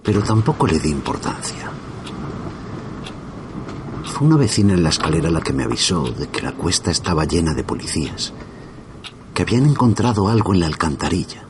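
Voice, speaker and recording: A man from narrating a first-person horror story in a slow, hushed, suspenseful manner. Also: low-pitched, sing-song, background noise.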